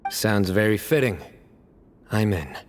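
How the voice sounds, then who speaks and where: A stern, resolute young man from a video game, speaking in a fairly low voice. He is deliberate, direct and serious, with faint noise behind him. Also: expressive. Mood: resolved.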